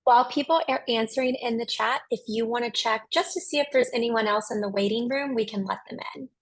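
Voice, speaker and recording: A woman's voice: a little high, brisk. A woman co-hosting a webinar, making a practical aside, a little distant from the mic on the call.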